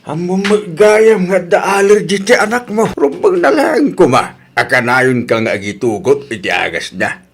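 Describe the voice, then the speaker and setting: A man's voice: fairly high pitch, lively intonation, moderate pace, breathy edge. A man acting an earnest grown family man who reproves and pleads, in an Ilocano radio drama. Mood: angry.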